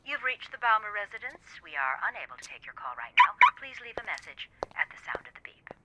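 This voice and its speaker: A woman's voice: medium pitch, sing-song, fast talker, very noisy. An actress playing a polite woman's outgoing answering machine greeting, heard through a telephone filter. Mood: polite, neutral. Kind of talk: recorded voicemail greeting.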